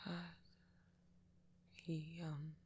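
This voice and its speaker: A woman voicing a shy, anxious, apologetic young-looking male vampire in an ASMR roleplay, speaking softly and hesitantly with nervous stammers and half-whispers. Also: deep voice, flat delivery, drawn-out words, slight background noise. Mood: awkward, self-conscious. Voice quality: soft, breathy, often half-whispered. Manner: hesitant, stammering, self-conscious. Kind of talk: ASMR roleplay monologue to a listener.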